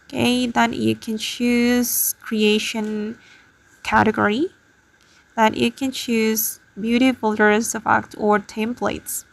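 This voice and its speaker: A woman's voice: fairly high pitch, flat delivery, takes it slowly, creaky. A woman hosting a casual tutorial, explaining things step by step to her viewers. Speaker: tutorial host. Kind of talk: casual spoken screen tutorial.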